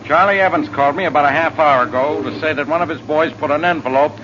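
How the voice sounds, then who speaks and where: A man plays a sarcastic police inspector from 1940s radio drama. His voice is fairly high and brusque. The recording is muffled and noisy. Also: fast talker, a bit bright, lightly acted. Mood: demanding.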